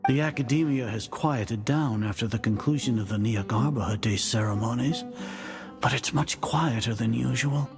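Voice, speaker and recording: A stern male sage from a video game, used to command. His voice is gravelly with creak and sits at a middling pitch. He questions imperiously and deliberately over clear background music. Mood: puzzled, suspicious. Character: stern, haughty male sage in authority, growing suspicious. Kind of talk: dramatic video game voice acting.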